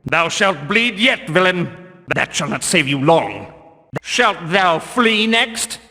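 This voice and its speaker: Casting: fanatical temple guard for a fantasy game, pompous archaic diction, highish bright voice, long reverb. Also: male voice, sing-song, slow, heavily acted. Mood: wrathful, righteous. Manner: pompous, declamatory, archaic phrasing. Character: zealous, stern male religious guard with archaic speech. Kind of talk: video game guard voice lines, theatrical archaic English.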